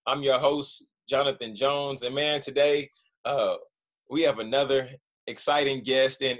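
A young man hosting a podcast from a small room over his webcam. His voice sits mid-range, and he talks with lively energy, stumbling over and repeating his words as he goes. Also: mid-range voice. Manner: energetic, stammering restarts, calls guest "man". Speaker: podcast host. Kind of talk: casual video-call podcast hosting. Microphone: webcam on video call.

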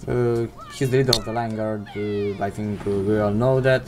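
A teenage boy from Romania, a native Romanian speaker, hosts a casual Q&A vlog in English, pausing often with fillers and non-native phrasing. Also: lowish voice, steady tone, noisy background. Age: teens. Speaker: channel host answering viewer questions. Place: indoors at home, seated in gaming chair. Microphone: desk microphone.

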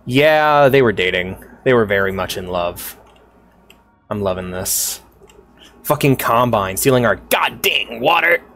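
A man streaming a story game, reading lines aloud and reacting with loud, profane excitement. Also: mid-range voice, measured pace, a little hiss or hum. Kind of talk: gaming livestream, reading dialogue aloud.

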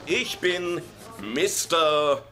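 A man's voice: a little high, expressive, drawn-out words, sharp tone, faint music behind, full character voice. A man acting in a German sitcom dub as an eager, insecure husband turned substitute teacher, hesitant and awkwardly chummy. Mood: nervous, eager.

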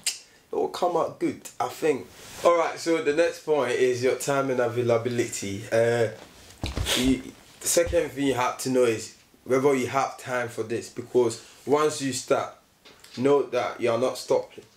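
A man's voice: mid-range voice, a little slow. A young man on a sofa indoors, co-hosting a casual motivational chat about the gym and repeating phrases for emphasis. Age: young adult. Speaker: co-host, fitness vlogger. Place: indoor room, seated on sofa. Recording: roomy echo.

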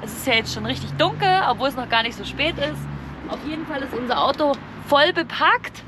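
High pitched lady doing a chatty camping vlog, explains stuff casually, noisy outside/car background. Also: lively intonation, brisk. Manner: chatty, informal, explaining as she goes. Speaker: camping vlogger. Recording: clearly noisy, outdoor and vehicle ambience.

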